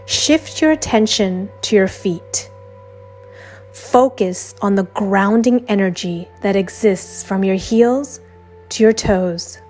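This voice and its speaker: Woman doing a guided meditation, really slow soothing script read, bit of music behind her. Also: mid-range voice. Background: soft background music.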